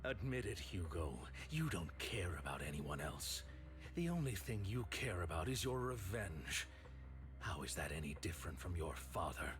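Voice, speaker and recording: An actor playing a stern, righteous man in a video game, speaking in a grave, measured, accusatory way. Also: deep voice, sing-song, over music. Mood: accusing.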